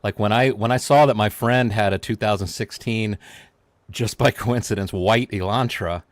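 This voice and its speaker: A man with a fairly low voice talks casually as a guest, wandering through a story and restarting his sentences as he goes. The sound is dry and close, with no room echo. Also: normal speed. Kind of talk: casual chat.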